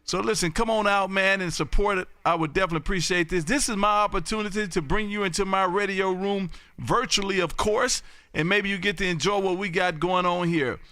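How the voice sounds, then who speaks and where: A man's voice: high voice, steady tone, brisk, bright, forceful tone, no room sound. An American man hosting an amateur radio live stream in a folksy, repetitive patter, chatting casually with callers. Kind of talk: lively ham radio live stream chat.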